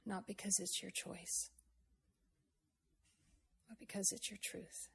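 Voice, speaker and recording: A woman speaks as a meditation guide in a husky, breath-laden voice at a medium pitch. Her intonation stays flat and steady. She is gentle and takes her time, letting long pauses fall between short phrases. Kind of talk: slow guided meditation, with a poem recited.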